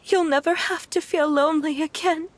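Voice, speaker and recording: A woman voicing a frail, loving, sorrowful mother in a game, halting and breathy with emotion. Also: high voice, steady tone, lightly acted. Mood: tearful regret. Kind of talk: English game voice acting.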